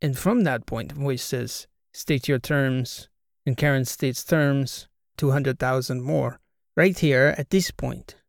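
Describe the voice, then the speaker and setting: A man's voice: mid-range voice, slow, gravelly, no echo, clean studio sound. A man voicing an earnest male Croatian PhD student who speaks clipped English with a Croatian accent and drops his articles. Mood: explaining.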